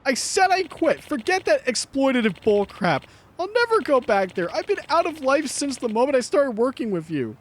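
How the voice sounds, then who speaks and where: A man's voice: very high-pitched, big pitch swings, normal speed, a little hiss or hum, voice pushed up. A man voicing a panicky, frazzled young male game developer who talks in a whiny, nervous way. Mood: defiant.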